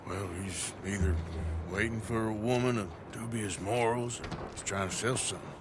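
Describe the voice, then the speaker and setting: An actor playing a gruff, wry American outlaw with a dry, teasing drawl in a video game cutscene. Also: male voice, fairly low pitch, moderate pace, background noise, lightly acted. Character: gruff, wry, self-deprecating male outlaw.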